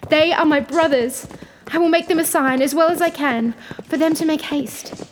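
Woman acting a desperate fairy-tale bride, young, high voice, pleading, frightened, echoing storybook reading. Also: wildly swooping pitch, unhurried, slight background noise, exaggerated voice. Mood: desperate hope. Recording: long hall-like reverb.

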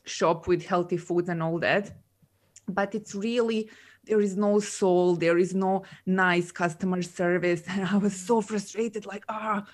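A woman's voice: mid-range voice, steady tone, a little slow. A woman from Serbia, chatting casually as an interview guest, with lots of fillers and frequent laughs. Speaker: guest, coach and entrepreneur.

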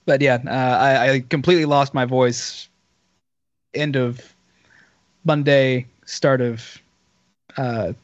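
Hoarse, raspy-voiced man rambling. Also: mid-range voice, fairly even intonation, slow pace, long pauses, dry recording, muffled recording. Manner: rambling, self-interrupting storyteller. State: hoarse, recovering from illness. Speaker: podcast co-host. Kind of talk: casual banter.

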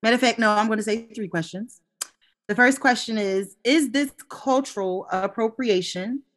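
Lively woman co-hosting a chat show. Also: fairly high pitch, normal speed. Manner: animated, laughing, exclaiming. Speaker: co-host. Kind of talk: casual podcast chat.

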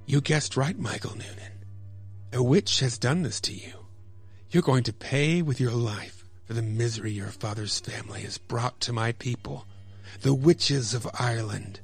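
A man voicing a cruel, triumphant witch with a raspy voice and theatrical gloating, in a radio play. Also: mid-range voice, unhurried, faint music behind. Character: triumphant cruel Irish witch, gloating over her victim.

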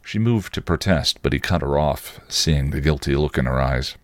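A man's voice: rumbling low, normal speed, dry recording, clean studio sound. A man hosting a podcast, narrating fan fiction as a storybook reading.

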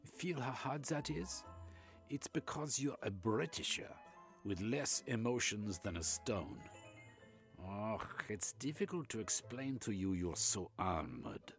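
A man voicing a flamboyant, pushy middle-aged German doctor with a German accent, excitable and lecturing. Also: lowish voice, slow pace, soft background music, pitched above the performer's own voice.

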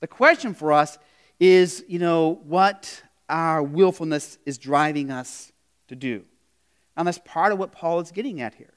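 A man with a high voice preaches a sermon to his congregation. He talks in a loose, conversational way, restarting phrases and throwing questions out to the listeners. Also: measured pace, clear, resonant tone. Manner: conversational preaching with frequent "you know" and restarts. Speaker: pastor.

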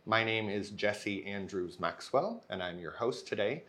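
Host role: man, lowish voice, friendly casual interviewer. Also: animated, a bit bright. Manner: friendly, quick follow-up questions.

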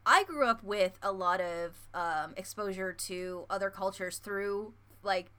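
A woman's voice: medium pitch, measured pace, sharp tone, smooth. A young American woman hosting a podcast, chatting in a bubbly way with lots of fillers. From United States. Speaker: podcast host. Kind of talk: casual chat.

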